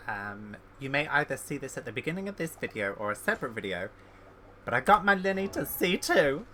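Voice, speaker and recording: A woman's voice: extremely low pitch, pushed and bright, a little hiss or hum. A woman streaming a video game with casual, chatty, slangy commentary, reacting aloud as she plays.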